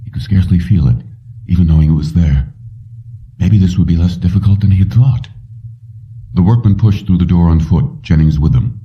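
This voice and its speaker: A man with a very low voice narrates an audiobook in measured, dramatic phrases. The recording is slightly muffled and sounds a little away from the mic. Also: distant mic. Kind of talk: scripted narration of a suspense story.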